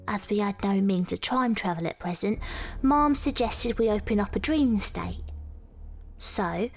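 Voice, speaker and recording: Woman playing haunted young Victorian woman, hushed near-whisper narration, very gravelly voice. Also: mid-range voice, close to an everyday voice. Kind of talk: first-person audio drama narration.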